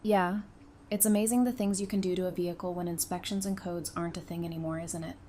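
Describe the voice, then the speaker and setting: A woman's voice: on the low side, steady tone, fast, dark tone, slight background noise, lightly acted. A woman playing a practical, easygoing survivor in an audio drama, speaking in a matter-of-fact, conversational way. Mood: upbeat, casual.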